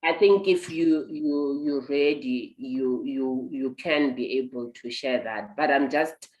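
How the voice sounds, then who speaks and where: A woman hosting an online interview, talking at length and gently encouraging her guest. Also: a bass voice, takes it slowly, echoey room, dull audio. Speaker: host.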